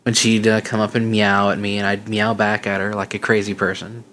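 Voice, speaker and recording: A man's voice: on the low side, flat delivery, fairly quick, fairly bright tone, a little hiss or hum. A man co-hosting a podcast, chatting casually and reading listener emails aloud with frequent laughs. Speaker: podcast co-host.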